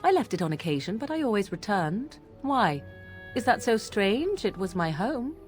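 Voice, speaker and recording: 배우가 연기하는 냉소적이고 도도한 젊은 야생의 여인. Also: female voice, medium pitch, very animated, moderate pace, soft background music, close to an everyday voice. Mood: defensive. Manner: guarded, dry, arch. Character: aloof, sardonic young woman raised in wilderness. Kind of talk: fantasy game banter acting.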